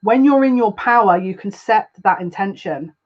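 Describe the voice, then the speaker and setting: A woman's voice: mid-range voice, moderate pace, dull audio. A woman hosting a live spiritual reading, speaking earnestly and confidingly, often repeating her phrases. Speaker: psychic livestream host.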